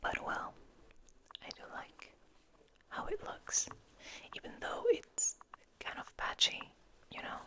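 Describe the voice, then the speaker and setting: A woman hosting ASMR, speaking in a gentle, intimate, breathy whisper at an unhurried pace. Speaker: ASMR host. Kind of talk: soft-spoken whispered ASMR.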